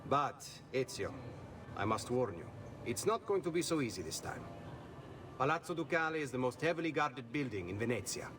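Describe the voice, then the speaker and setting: An actor playing a loyal leader of a band of thieves in a video game, talking in a wry, reassuring way. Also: male voice, mid-range voice, sing-song, normal speed, background noise, natural voice. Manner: warm-hearted, wry, reassuring. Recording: video game audio from a distance.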